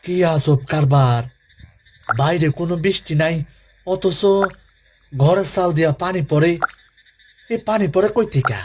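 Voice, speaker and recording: A man's voice: fairly high pitch, a little slow, pauses often, noisy background, close to an everyday voice. A man playing an anxious village man spooked by a ghost in an audio horror drama, with rustic Bangladeshi speech. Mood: puzzled, uneasy.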